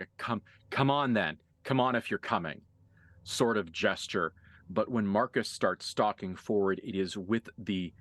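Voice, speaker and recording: A man's voice: on the low side, a bit bright, no echo. A man working as game master, narrating a tabletop horror game in a vivid, improvised, dramatic storytelling manner.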